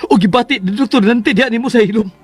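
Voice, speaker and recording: A man's voice: extremely high pitch, fast, breathy edge. A man playing an insistent, jealous young man hurt by love in a radio drama, urgent and confronting. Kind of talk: radio drama acting in Cebuano. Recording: music under the voice.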